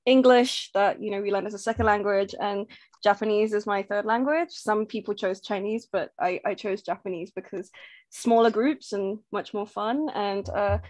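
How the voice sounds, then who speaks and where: A woman's voice: mid-range voice, normal speed, dry recording. A young woman from Thailand, a native Thai speaker, casually sharing a childhood memory on a video call. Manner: chatty, animated, laughing easily. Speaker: game participant. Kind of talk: casual personal storytelling.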